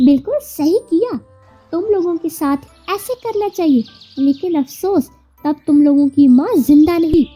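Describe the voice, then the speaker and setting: A woman's voice: extremely high pitch, normal speed, mellow, faint music behind, pitched above the performer's own voice. A woman voicing a cruel, scheming aunt bird in a cartoon, with a threatening manner.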